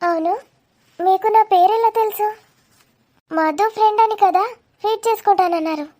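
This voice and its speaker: A woman's voice: very high-pitched, moderate pace, dark tone. A woman voicing a saucy, guarded young woman in a cartoon love story. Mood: curt, teasing.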